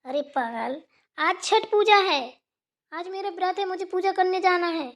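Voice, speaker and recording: A woman voicing a bossy but affectionate Indian mother-in-law, brisk and scolding in a cartoon style. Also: extremely high pitch, fairly bright tone. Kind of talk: Hindi family cartoon voice-over.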